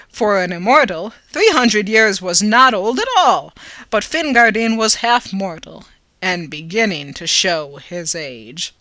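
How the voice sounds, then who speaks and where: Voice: medium pitch, sharp tone, no room sound. An amateur reader narrating a fantasy story in a theatrical, tongue-in-cheek way.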